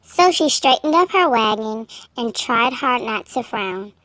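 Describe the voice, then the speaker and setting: A woman reading a children's storybook aloud, with measured, expressive phrasing for young listeners. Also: a little high. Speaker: children's book reader. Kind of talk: storybook read-aloud narration.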